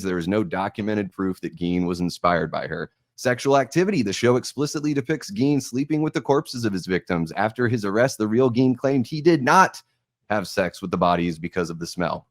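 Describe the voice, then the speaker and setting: A young American man hosting a podcast discussion, giving opinions and going through notes in an informal, halting, thoughtful way. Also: fairly low pitch, animated, no echo, clean studio sound. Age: young adult. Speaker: podcast host.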